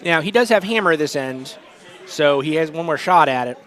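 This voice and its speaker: A man's voice: fairly high pitch, lively intonation, moderate pace, fairly bright tone, some chatter behind. A man from New England doing casual, wry play-by-play commentary on a curling match. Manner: chatty, wry, stumbles over names.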